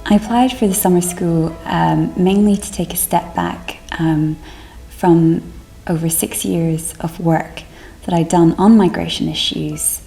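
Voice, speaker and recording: A woman's voice: lowish voice, measured pace, vocal fry, warm tone, soft background music, poor audio. A woman brought up in Scotland who works on civil liberties policy, speaking thoughtfully in a seated interview. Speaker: policy committee staffer. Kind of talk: interview testimony. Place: indoor room with curtain backdrop.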